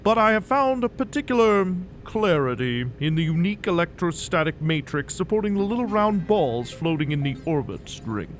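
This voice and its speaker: An actor playing a smug, menacing mad-scientist doctor in an audio drama, speaking in a formal, condescending, theatrical way. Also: male voice, a little high, sing-song, moderate pace, few pauses, soft background music. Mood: grandiose, persuasive.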